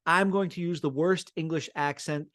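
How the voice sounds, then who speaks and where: Self-mocking man, slightly high voice. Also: steady tone, normal speed, a bit bright, no room sound, studio quality. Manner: self-deprecating, laughs at his own jokes. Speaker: podcast co-host. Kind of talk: casual podcast chat.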